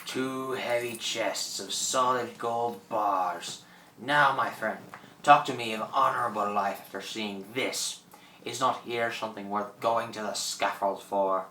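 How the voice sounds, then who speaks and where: Gloating old pirate, roomy sound. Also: male voice, lowish voice, fairly even intonation, a little slow, sharp tone, a little hiss or hum. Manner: theatrical, gloating, cackling. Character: boastful old French pirate, greedy and menacing, newly revived. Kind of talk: radio play acting. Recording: roomy, distant microphone.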